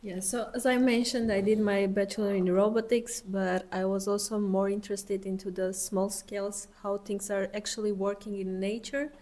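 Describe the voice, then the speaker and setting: A woman's voice: medium pitch, fairly even intonation, normal speed, few pauses, mellow. A woman in her twenties from Armenia with an Armenian accent, a student answering conversationally on a panel. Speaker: master's student, podcast guest.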